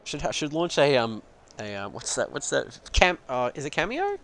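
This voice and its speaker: Give me a man commentating a sim race live, chatty and self-deprecating, mid-pitched. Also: wildly swooping pitch, moderate pace, creaky, fairly bright tone. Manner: rambling, self-deprecating, swears casually. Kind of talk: casual live stream commentary.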